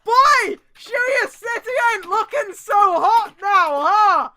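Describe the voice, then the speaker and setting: A woman's voice: extremely high pitch, expressive, slow, crowd noise. A woman hosting a group stream, reading a story aloud with exclamatory, mocking asides. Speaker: host reading fan fiction on a stream.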